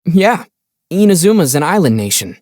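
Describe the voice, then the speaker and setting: Young traveler hero for a video game, playful and wry, animated intonation, dry studio sound. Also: male voice, high voice, moderate pace, no echo. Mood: calm, informative. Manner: teasing, wry. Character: young male traveler hero, calm, playful, gently teasing.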